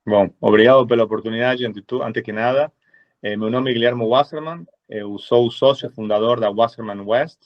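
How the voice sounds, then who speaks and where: A man from Argentina with an Argentine Spanish accent, a lawyer speaking as a guest in a webinar, measured and full of hesitant fillers. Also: mid-range voice. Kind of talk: webinar presentation. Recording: dull lo-fi webinar audio.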